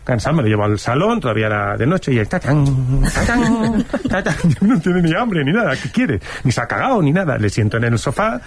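A man's voice: fairly high pitch, sing-song, fairly quick, slight background noise. A man with a Spanish accent from Spain, chatting casually and comically as a panelist on a quiz show. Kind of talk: casual comic chat and anecdote. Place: radio studio.

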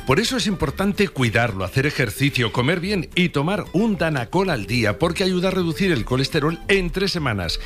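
A man's voice: a little high, sing-song, brisk, hardly any pauses, fairly bright tone. A man with a Spanish accent from Spain doing a chatty radio sponsor read, persuasive and talking straight to the listener. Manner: conversational, persuasive, addressing the listener directly. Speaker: radio advertisement reader. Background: music.

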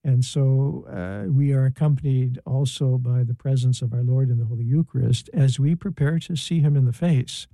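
Gravelly older male priest. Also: mid-range voice, fairly even intonation, no room sound, low-quality recording. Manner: halting, with many fillers, gently teaching. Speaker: guest priest. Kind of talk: explaining church teaching in conversation.

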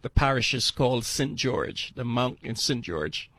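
A man's voice: mid-range voice, animated, fairly bright tone, no room sound. Older man, close dry sound. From Barbados. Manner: earnest, deliberate, repeats phrases for emphasis. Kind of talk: personal testimony storytelling.

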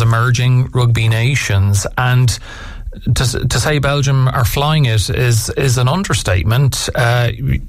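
A man's voice: mid-range voice, no echo, studio quality. A man hosting a radio show, talking with many fillers and restarts. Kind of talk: radio interview. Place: radio studio.

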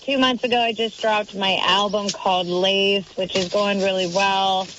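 A woman's voice: mid-range voice, fairly even intonation, normal speed, a bit bright, slight background noise. Upbeat woman singer over a dull phone line. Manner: chatty, upbeat, laughs easily.

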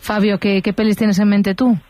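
A woman with an accent from Spain speaks as a radio host taking calls. Her style is casual and conversational, with a voice of medium pitch, as heard from a studio. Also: level tone, normal speed, warm tone, no room sound. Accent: Spanish, from Spain. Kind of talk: casual phone-in chat.

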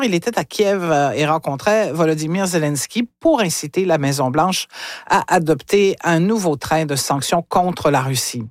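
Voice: mid-range voice, dry recording, studio quality. A news host, presenting and interviewing.

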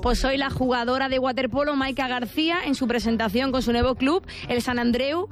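A woman files an earnest sports news report on the radio. Her voice sits high and her delivery runs fast. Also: soft background music.

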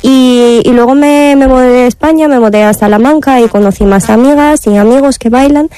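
A woman's voice: high voice, fairly quick, dark tone, smooth, no echo. A woman from Bulgaria, answering conversationally as an interview guest. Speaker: interview guest, member of a swing dance association.

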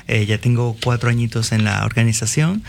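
A man's voice: mid-range voice, brisk, gravelly. A man with a Venezuelan accent introducing himself conversationally as a panel guest. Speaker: guest, peer support team leader.

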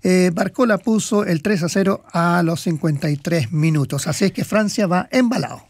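Guy chatting about match results, high gravelly voice, lots of ums, dry close sound. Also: normal speed, no echo. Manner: rambling, hesitant with fillers. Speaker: sports commentator co-host. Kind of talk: casual radio sports chat.

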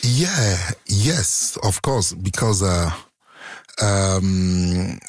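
Man from Cameroon, low voice, hesitant guest in a dry radio studio. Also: lively intonation, very slow, dry recording. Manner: hesitant, many fillers, laughs often. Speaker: guest musician. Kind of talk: casual radio interview.

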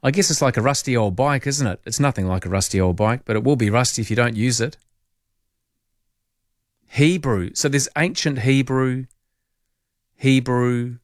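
Chatty New Zealand radio host, dry studio sound. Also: male voice, medium pitch, no echo. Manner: chatty, jokey, thinks aloud with quick asides. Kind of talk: late-night talkback radio chat. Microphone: studio microphone.

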